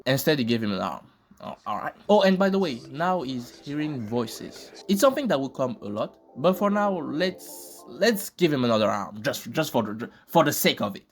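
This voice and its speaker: A man's voice: mid-range voice, big pitch swings, normal speed. A man hosting casual video commentary, informal and joking, with plenty of slang. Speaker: host of reaction commentary videos. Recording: loud music behind the voice. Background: loud music.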